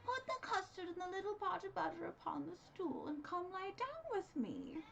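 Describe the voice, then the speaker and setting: A woman's voice: extremely high pitch, big pitch swings, moderate pace, creaky, fairly bright tone, a little hiss or hum, voice pushed up, full character voice. A woman voicing a sly, hungry male wolf in a fairy tale, coaxing and disguising his voice. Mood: falsely sweet.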